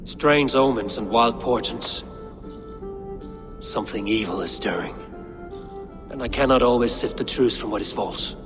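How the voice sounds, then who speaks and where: A man's voice: medium pitch, unhurried, pauses often, over music, close to an everyday voice. An actor playing a stern, suspicious Norse god king in a video game, with commanding, formal, archaic phrasing. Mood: troubled, grave. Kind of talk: dramatic game cutscene acting.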